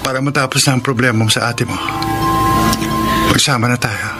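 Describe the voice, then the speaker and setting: A Filipino actor playing an earnest, devoted young boyfriend who also narrates a radio drama. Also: male voice, medium pitch, brisk, lightly acted. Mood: reassuring. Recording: loud music under the voice.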